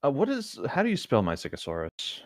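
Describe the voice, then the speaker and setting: A man's voice: medium pitch, wildly swooping pitch, fairly quick, dry recording, studio quality. A man chatting casually and narrating a fan-written story as an amateur co-reader.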